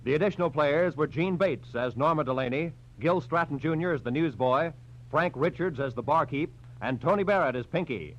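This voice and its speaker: Male American announcer, 1940s radio style, higher-pitched and formal, dull recording with faint noise and hum. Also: fairly quick. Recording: muffled noisy vintage radio recording with hum.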